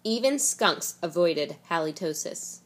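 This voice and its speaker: A woman reading a children's picture book aloud in an expressive storytelling way. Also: on the low side, measured pace. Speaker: reader.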